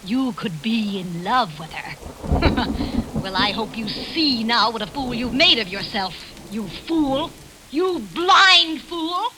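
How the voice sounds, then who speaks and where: A woman's voice: fairly high pitch, lively intonation, takes it slowly. An actress playing a bitter, domineering middle-aged mother in theatrical, overbearing 1940s radio drama style. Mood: venomous, hysterical. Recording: hissy 1940s radio broadcast.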